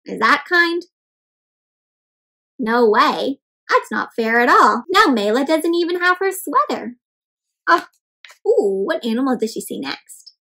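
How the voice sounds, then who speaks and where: High-voiced woman reading interactively to children. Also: measured pace, creaky, pauses often. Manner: animated, asks questions and explains words to children; dramatic gasps and exclamations. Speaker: children's read-aloud storyteller. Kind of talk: interactive picture-book read-aloud for young children.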